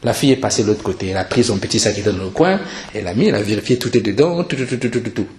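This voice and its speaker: A man's voice: lowish voice, very fast. Preaching man in a roomy, echoing space. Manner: animated storytelling with repetition for emphasis. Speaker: pastor preaching. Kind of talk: Sunday church sermon, storytelling exhortation and call to prayer. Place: indoor church service. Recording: roomy church sound with music.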